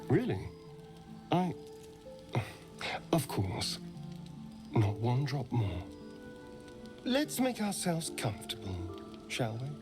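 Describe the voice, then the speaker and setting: A man's voice: mid-range voice, wildly swooping pitch, slow pace, pauses often, music in the background. A man playing a sly, hungry vampire in a video game, with a theatrical, coaxing delivery. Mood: earnest, coaxing. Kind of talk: video game character acting.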